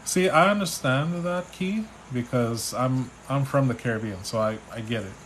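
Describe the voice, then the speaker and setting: A man from Trinidad with a Trinidadian accent, a spiritual reader on a live stream, relaxed and chatty as he reads out viewers' questions. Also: medium pitch, moderate pace, slight background noise, low-quality recording. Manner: relaxed, chatty, reads names and questions aloud, restarts often. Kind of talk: live stream reading, answering chat questions.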